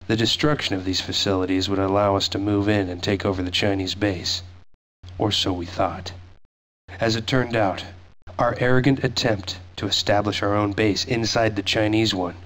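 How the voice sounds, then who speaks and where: A man plays a grim veteran soldier looking back on a war for a video game. His voice is low and his delivery solemn and measured, like a scripted memoir. There is some noise behind him. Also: fairly even intonation, fairly bright tone, natural voice, rough recording. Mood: grim, reflective. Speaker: narrator.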